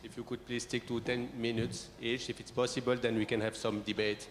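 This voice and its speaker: A man moderating a conference panel, polite and measured. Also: mid-range voice, level tone. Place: conference hall. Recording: long hall reverb, faint room noise.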